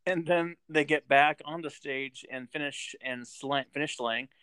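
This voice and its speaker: A man from the United States hosting a casual movie podcast, halting with many ums and frequent laughs. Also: on the high side, fairly bright tone, smooth, dry recording. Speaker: podcast host.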